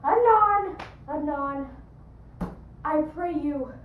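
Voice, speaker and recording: A woman's voice: very high-pitched, animated, slow, echoey room, narrow, tinny audio, a little hiss or hum, pitched above the performer's own voice, full character voice. A woman acting a grumbling, mocking male castle gatekeeper in a declamatory Shakespearean stage monologue. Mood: mocking, exasperated. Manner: declamatory, exclaiming, sardonic.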